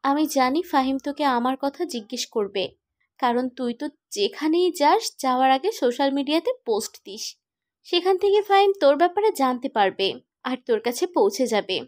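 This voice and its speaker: A woman plays a wounded but stubborn young woman in a cartoon romance. The voice is high-pitched. The delivery is insistent and emotional. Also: animated, a little fast. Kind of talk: animated romantic drama dialogue.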